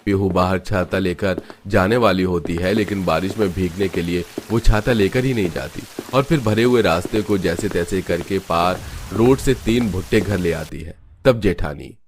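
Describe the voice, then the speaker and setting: A man's voice: low voice, normal speed, few pauses, slight background noise. A man narrating a scripted cartoon family story.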